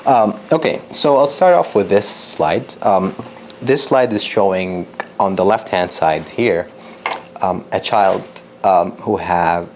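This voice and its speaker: A young man from Lebanon with a low, gravelly voice gives a public science talk. He talks hesitantly, with many ums and restarts, and a faint hiss of noise sits under the recording. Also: darkish tone. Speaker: PhD researcher giving a talk.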